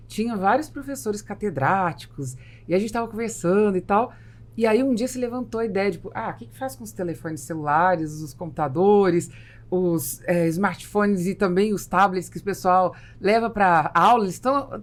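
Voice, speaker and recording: Fast-talking Brazilian woman podcast host. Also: medium pitch. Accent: Brazilian Portuguese. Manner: animated, tells anecdotes, asks long questions. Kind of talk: business podcast interview.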